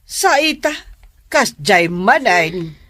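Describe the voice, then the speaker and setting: A woman's voice: mid-range voice, big pitch swings, slow pace, a bit bright, dry recording, exaggerated voice. A woman playing a worried, admonishing middle-aged mother in a radio drama. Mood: concerned, lamenting.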